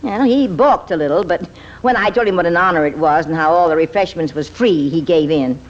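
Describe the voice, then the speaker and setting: A woman's voice: lowish voice, fairly quick, close to an everyday voice. An American actress playing a plain-spoken, good-humored older woman with a folksy manner in an old radio comedy. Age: middle-aged or older. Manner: folksy, blunt, chuckling. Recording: muffled old-time radio recording.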